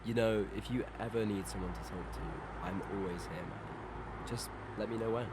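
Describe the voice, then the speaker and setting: A man's voice: fairly even intonation, normal speed, lightly acted. Young man playing a carefree lad, noisy recording. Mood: concerned. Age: young adult. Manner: casual, matey. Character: carefree teenage lad, fun-loving, supportive, resists growing up. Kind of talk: amateur audio drama dialogue. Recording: noisy amateur recording, environmental rumble.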